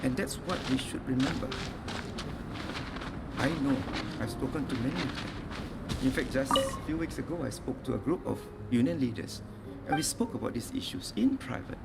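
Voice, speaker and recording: A man giving a formal parliamentary speech, with emphatic, deliberate phrasing. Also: mid-range voice, lively intonation, vocal fry, heavy background noise. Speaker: member of parliament. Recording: loud music over parliamentary broadcast.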